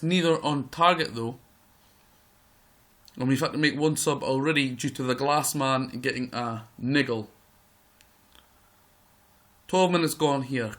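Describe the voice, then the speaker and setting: A man with a croaky voice giving excitable live play-by-play commentary over a football management game. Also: medium pitch. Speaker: gaming video host.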